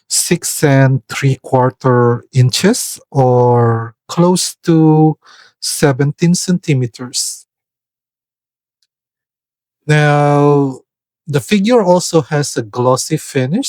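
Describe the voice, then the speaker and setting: A man talks through a figure review at an ordinary, middle pitch. He speaks with care and often pauses to find the right word. The recording is dry, with no room sound. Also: a little mellow, dry recording. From Philippines. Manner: hesitant, searching for words, careful. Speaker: toy reviewer. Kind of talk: product review voice-over.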